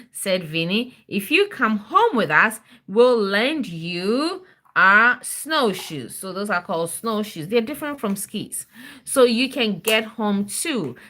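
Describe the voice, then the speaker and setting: Woman, bright tone, very animated pitch, storybook read-aloud, motherly prompts to a child, casual livestream chat. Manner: encouraging, prompts child to repeat and asks questions.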